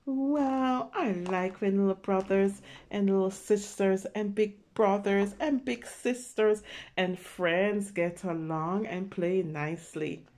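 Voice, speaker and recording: Woman doing a slow read aloud for little kids, expressive, lots of exclamations and checking in. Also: medium pitch. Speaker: children's story reader. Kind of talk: read-aloud with simple commentary for young children.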